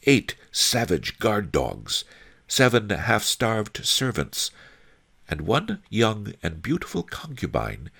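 A man voicing a knowing, scornful woman, a storybook noble nurse, reciting things item by item with deliberate emphasis. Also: fairly low pitch, wildly swooping pitch, gravelly, fairly bright tone, breathy edge, pitched above the performer's own voice, heavily acted.

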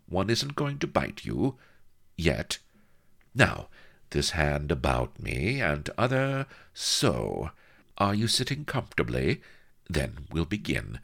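A man voicing an imperious, seductive female pirate in an audiobook, with a breathy, husky murmur and mock-archaic, coquettish speech. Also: extremely low pitch, a bit bright, exaggerated voice. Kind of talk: audiobook character voice.

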